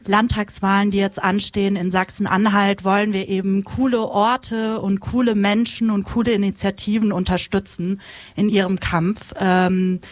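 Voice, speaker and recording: Woman introducing herself, medium pitch. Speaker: activist from an anti-racism initiative. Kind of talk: informal spoken introduction at a live radio panel.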